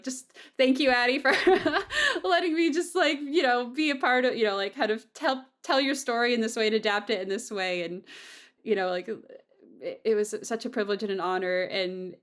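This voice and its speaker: A woman's voice: mid-range voice, a bit bright. A woman hosting a casual, heartfelt podcast conversation, effusive and grateful in manner. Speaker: podcast host and audio drama creator.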